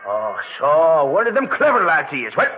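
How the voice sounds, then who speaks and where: A blustery beat cop. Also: male voice, fairly high pitch, huge pitch swings, normal speed, faint music behind. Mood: blustering. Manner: blustery, brogue-heavy. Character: bluff older Irish beat cop. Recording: muffled old radio broadcast with hiss.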